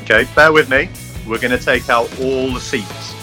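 Male ad voice, bright and tense tone, excitable pitch, music behind. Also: moderate pace. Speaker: advert voice. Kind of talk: scripted comic radio ad.

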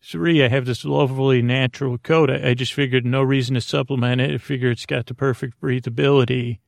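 Male podcast host, mid pitch, heavy vocal fry, dry close recording; meandering, halting comedic bedtime delivery. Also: fairly even intonation, no echo. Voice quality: creaky, gravelly. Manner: meandering, halting, absurd tangents told conversationally. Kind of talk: rambling comedic bedtime monologue.

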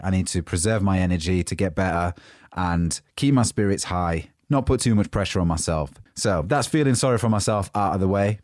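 A man hosting a motivational podcast, a bit croaky from a cold, talking in an informal, chatty, unscripted way. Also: fairly low pitch, a little mellow, no room sound, studio quality. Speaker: podcast host. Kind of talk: motivational talk.

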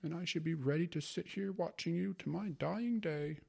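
A man voicing a sentimental, fussy aging gentleman in a spoken story, pitched well above his own voice. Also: normal speed, gravelly, husky, heavily acted. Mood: wistful. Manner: pleading, wistful, higher than the narration.

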